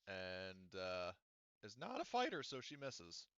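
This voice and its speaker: A man's voice: low voice, wildly swooping pitch, slow pace, fairly bright tone, smooth, dry recording, muffled recording. A man running a tabletop roleplaying game as game master, talking casually, rambling and swearing as he checks the rules.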